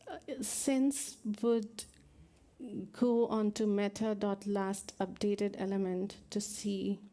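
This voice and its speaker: A woman speaker at a tech conference answering audience questions, soft-spoken and candid, with nervous little laughs. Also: mid-range voice, flat delivery, slow. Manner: soft-spoken, laughs nervously, frank about not knowing. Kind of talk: live Q&A after a technical talk. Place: conference hall.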